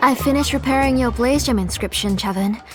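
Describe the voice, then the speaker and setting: A woman voicing a gentle, caring mother of a young child in a video game. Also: fairly high pitch, a little fast, over music, lightly acted. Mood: courteous. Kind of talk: video game character dialogue.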